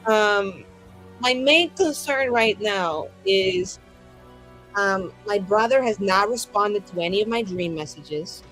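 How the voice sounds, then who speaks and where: A woman's voice: mid-range voice, animated, slow pace, fairly bright tone, poor audio. A woman in casual roleplay chat as a tabletop game player, with a flippant, rambling, slangy way of talking. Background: background music.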